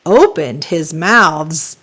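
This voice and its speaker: Woman, children's storybook narrator, expressive, unhurried pace, lively varied intonation. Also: sits low. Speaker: storybook read-aloud host.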